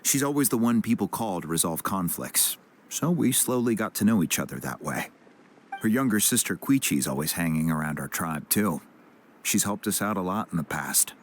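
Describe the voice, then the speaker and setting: A man playing a calm, helpful tribesman in a video game, explaining things in a measured, reassuring way. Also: deep voice, a little hiss or hum. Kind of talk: English video game voice acting. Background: music.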